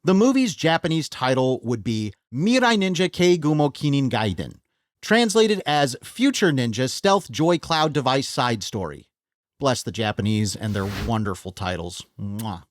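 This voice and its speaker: A man's voice: mid-range voice, very animated, normal speed, dry recording. A man with a North American accent hosting a scripted video essay, enthusiastic and wry, with self-deprecating joking asides. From United States. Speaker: host of a film video essay channel.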